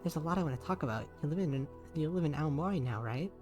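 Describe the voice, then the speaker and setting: A man voicing a timid young woman scientist who pleads softly with her father in a game reading. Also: on the high side, animated, quick pace, soft background music, voice pushed up, natural voice. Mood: earnest. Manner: soft, pleading, eager to please.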